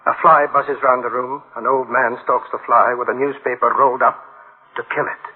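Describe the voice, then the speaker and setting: A man's voice: mid-range voice, steady tone, normal speed, slightly rough, close to an everyday voice. An actor playing a troubled scientist brooding over his invention in a radio drama, with clipped dramatic phrasing on a tinny recording. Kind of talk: dramatic radio play acting.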